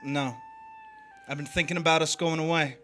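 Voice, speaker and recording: A man's voice: on the high side, fairly even intonation, moderate pace, fairly bright tone, soft background music, natural voice. An actor playing a cocky drifter in a stage drama, needling and flirting with a married woman. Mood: low-key. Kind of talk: stage drama performed online, with first-person narration.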